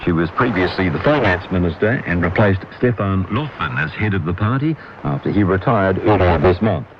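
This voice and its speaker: A man reading a formal radio news bulletin in a measured way, heard through noisy shortwave reception. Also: low voice, lively intonation, highs cut off. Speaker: newsreader.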